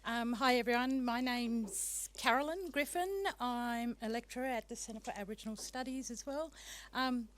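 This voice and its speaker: A woman's voice: a little high, normal speed, a little hiss or hum. A woman lecturer from Darwin in the Northern Territory, introducing herself and giving a formal acknowledgement at a conference. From Darwin, Northern Territory, Australia.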